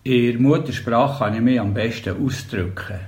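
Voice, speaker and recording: A man's voice: medium pitch, normal speed, darkish tone. Older man with a Bernese Oberland accent. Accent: Bernese German, Bernese Oberland. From Bernese Oberland, Switzerland. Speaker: storyteller. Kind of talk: reading memoirs and dialect tales.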